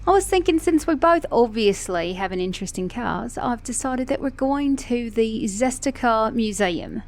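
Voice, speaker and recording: A woman's voice: fairly high pitch, lively intonation, moderate pace. A woman with a mixed Australian and British accent playing a flirty, sassy, teasing car mechanic in an ASMR romance roleplay. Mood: excited, playful. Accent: Australian-British mix. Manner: flirty, teasing, playful, with soft chuckles.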